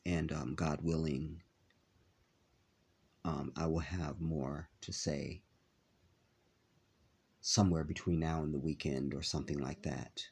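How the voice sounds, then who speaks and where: A man talking to his viewers in a halting vlog, with a nasal, congested voice as he recovers from an illness. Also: very deep voice, steady tone, darkish tone, husky. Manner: halting, many filler pauses. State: stuffy, congested after illness. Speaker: vlogger. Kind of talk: casual vlog talk to viewers.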